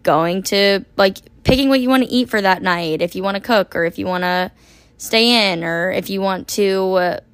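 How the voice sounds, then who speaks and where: A young woman in her twenties hosting a casual solo podcast, her voice hoarse and raspy from a long shift, rambling chattily with lots of "like". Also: mid-range voice, moderate pace, no room sound. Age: 20s. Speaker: podcast host.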